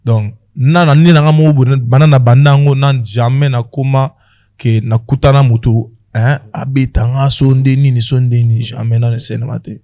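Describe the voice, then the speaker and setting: A man's voice: medium pitch, fairly even intonation, fairly quick, mellow, dry recording, muffled recording. A man with a Congolese accent chatting casually as a comedian guest on a podcast, switching between languages and telling stories with repeated words.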